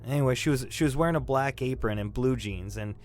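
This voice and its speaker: An actor playing a shaken young male robbery suspect in an audio drama, defensive and grieving, halting and stammering as he talks. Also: mid-range voice, darkish tone, faint music behind, natural voice. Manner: halting, stammering, repeating himself. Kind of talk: naturalistic audio drama acting.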